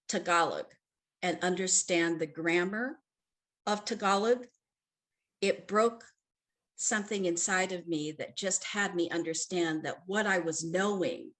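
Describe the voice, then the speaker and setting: A woman who grew up in the Philippines, moderating an online panel and answering a question in a reflective, measured way. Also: fairly low pitch, fairly bright tone. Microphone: video call.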